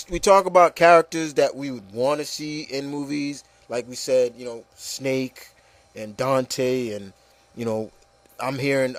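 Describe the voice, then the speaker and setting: A man's voice: mid-range voice, dry recording. A man hosting a casual, opinionated discussion, speaking emphatically and repeating phrases to drive points home. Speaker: podcast host.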